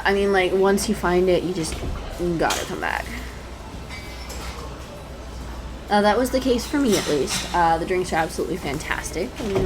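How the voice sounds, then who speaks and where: A woman's voice: lowish voice, natural voice. A woman playing a shy, adorkable young writer in an audio roleplay, speaking hesitantly with stammers, nervous giggles and apologetic phrasing. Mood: awkward, friendly. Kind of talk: intimate one-sided audio roleplay acting. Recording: clear background noise, cafe ambience effects. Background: coffee shop sound effects.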